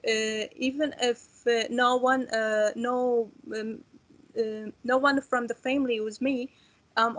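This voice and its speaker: Hesitant young Syrian woman. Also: a little high. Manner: hesitant, many fillers and restarts. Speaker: refugee student panelist. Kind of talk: roundtable discussion.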